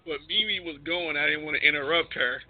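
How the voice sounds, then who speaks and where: A man's voice: fairly high pitch, brisk. Phone-line male radio host, blunt and slangy. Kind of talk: casual panel discussion. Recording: tinny, band-limited phone-line sound.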